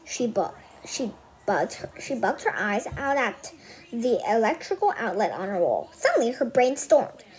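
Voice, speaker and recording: A young girl reads a children's story out loud in a high voice. Her pitch swings around in an animated way. She often stumbles, repeats a phrase, and slips into casual asides. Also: moderate pace, rough recording. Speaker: read-aloud host. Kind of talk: reading a children's book aloud with casual asides.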